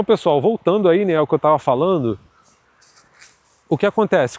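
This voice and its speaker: A man's voice: a little high, dry recording. A man with a Carioca accent from Rio de Janeiro, talking casually and chatting to his viewers with lots of fillers. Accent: Carioca Brazilian Portuguese. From Rio de Janeiro, Brazil. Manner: relaxed, chatty, many fillers and tag questions. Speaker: vlogger. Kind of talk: casual vlog monologue.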